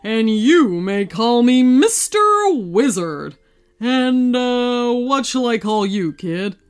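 A woman voicing an excitable, theatrical old male wizard in a children's story, friendly and grand, with drawn-out exclamations. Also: a little high, smooth. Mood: warm, instructive.

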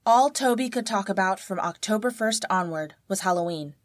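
A woman's voice: medium pitch, lively intonation, normal speed. A woman reading a scripted story as a guest narrator, close to the mic.

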